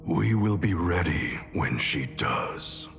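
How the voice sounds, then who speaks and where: An actor playing a cold, domineering ninja grandmaster in a video game, speaking in an imperious, menacing manner. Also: male voice, low voice, animated, takes it slowly, gravelly, heavily acted. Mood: resolute, menacing. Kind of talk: dramatic video game cutscene acting. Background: dramatic music.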